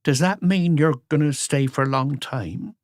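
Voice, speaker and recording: Man voicing a sharp-tongued old woman, pitched up. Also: measured pace, dry recording, close to an everyday voice. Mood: defiant. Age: older. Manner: muttering, defiant retorts. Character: sharp-tongued elderly mother, wary, proud of her son. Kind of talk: audiobook character voice.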